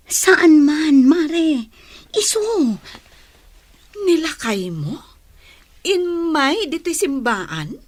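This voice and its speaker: A worried, churchgoing wife in an Ilocano radio drama. Her voice is high and she takes her time, fretting in long, slow phrases. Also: no room sound, exaggerated voice. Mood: worried, doubtful.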